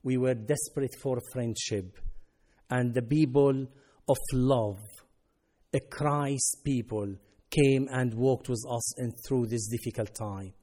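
A man from Syria, a native Arabic speaker, giving an earnest personal testimony in halting non-native English. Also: mid-range voice, dark tone. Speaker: ministry worker.

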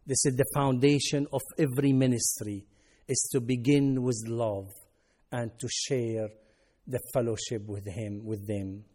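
A man from Syria, a native Arabic speaker, giving an earnest personal testimony in halting non-native English. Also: mid-range voice, steady tone, dark tone, slightly rough. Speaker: ministry worker.

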